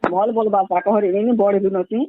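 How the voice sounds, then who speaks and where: A woman's voice: mid-range voice, fairly even intonation, normal speed, a little hiss or hum. A middle-aged woman, insistent and repetitive, defending herself on a recorded phone call. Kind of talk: heated phone argument in colloquial speech.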